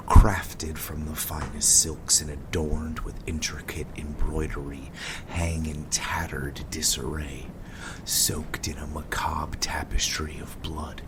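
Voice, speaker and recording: A man's voice: a bass voice, noisy background. A man narrating scripted gothic horror with grave, ornate storytelling. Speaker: narrator, game master.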